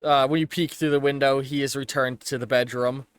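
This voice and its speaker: A man narrating a tabletop game as game master, improvising casually and haltingly as he searches for words. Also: medium pitch, level tone, clear, resonant tone, no room sound.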